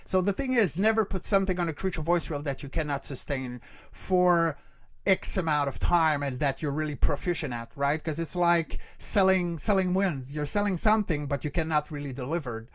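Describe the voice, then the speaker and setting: Higher-pitched man, explaining casually, dry sound. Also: normal speed, no echo. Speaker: guest expert, creature voice actor and workshop teacher. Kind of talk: casual explanatory interview answers.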